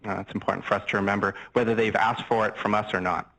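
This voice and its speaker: A man's voice: fairly low pitch, fairly even intonation, quick pace, creaky, bright, forceful tone, poor audio. An older Canadian man, a physician lecturing on a microphone, explaining things casually with everyday examples and asides. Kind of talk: conversational medical lecture. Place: lecture room. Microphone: handheld mic, swapped mid-talk.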